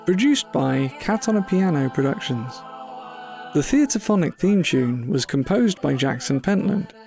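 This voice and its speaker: A man's voice: fairly high pitch, very animated, unhurried, creaky, darkish tone, faint music behind. A man reading scripted credits as a podcast announcer.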